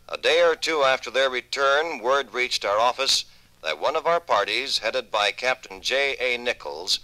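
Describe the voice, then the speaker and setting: An earnest iron prospector recounts his find in formal, measured phrases. The voice is bright and forceful, recorded dry and close for a 1950s radio drama. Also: mid-range voice, dry recording, low-quality recording.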